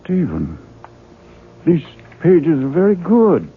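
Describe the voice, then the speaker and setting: Hearty, pushy male publisher, highish husky voice with swooping delivery, tinny radio sound. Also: wildly swooping pitch, slow pace, heavily acted. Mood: coaxing. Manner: hearty, coaxing, insistent. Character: brisk, persuasive male book publisher, coaxing and insistent. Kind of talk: radio mystery drama acting. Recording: tinny 1970s radio broadcast.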